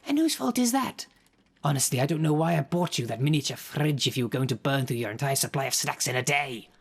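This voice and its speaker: Man playing a husky, haughty demon caretaker. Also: mid-range voice, lively intonation, fast. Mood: exasperated. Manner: formal, grandiose, sardonic. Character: theatrical, haughty demon caretaker of a monster prison, dry salesman. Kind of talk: scripted audio roleplay, addressing the listener.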